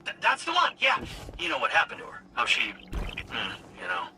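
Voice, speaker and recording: An actor playing a nervy, shifty robot turncoat in a video game, stammering and evasive in slangy talk. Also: male voice, mid-range voice, huge pitch swings, moderate pace, bright, forceful tone, a little hiss or hum, full character voice, low-quality recording. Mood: nervous, persuasive. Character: nervy, shifty, fast-talking turncoat robot companion, male.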